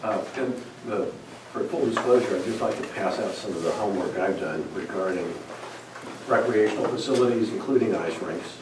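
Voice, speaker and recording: Low-voiced man, distant and echoey. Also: normal speed. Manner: hesitant, many fillers, defensive. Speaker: commission member. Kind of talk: public meeting remarks. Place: town meeting room. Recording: echoey hall from far off with noise.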